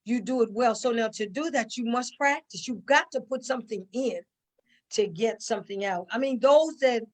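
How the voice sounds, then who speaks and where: A woman's voice: a little high, normal speed. A middle-aged woman answering conversationally, telling stories emphatically with repetition and quoted asides. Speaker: stage play cast member.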